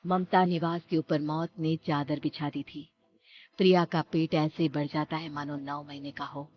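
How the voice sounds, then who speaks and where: A woman's voice: sits low, flat delivery, normal speed, lo-fi sound. A woman narrating a scripted horror story as the storyteller.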